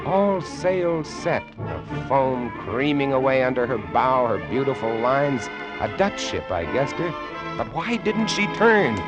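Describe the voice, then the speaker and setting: An actor playing a lighthouse keeper who narrates a sea horror tale with suspenseful, deliberate delivery in old radio style. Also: male voice, mid-range voice, big pitch swings, poor audio. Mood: tense, awed. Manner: suspenseful, deliberate, theatrical storytelling. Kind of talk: 1950s radio horror acting, dramatic narration. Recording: vintage radio drama with music underneath.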